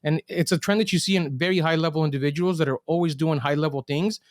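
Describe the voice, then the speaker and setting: A man's voice: on the high side, fast talker, dry recording, studio quality. A man hosting a podcast, talking in a laid-back, casual way with lots of "like" and "right?". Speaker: podcast host.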